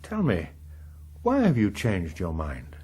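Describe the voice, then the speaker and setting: An actor playing a suave, guarded veteran chief whip, measured, formal and evasive in a naturalistic political drama. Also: male voice, low voice, wildly swooping pitch, darkish tone, breathy edge, full character voice. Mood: cool, probing.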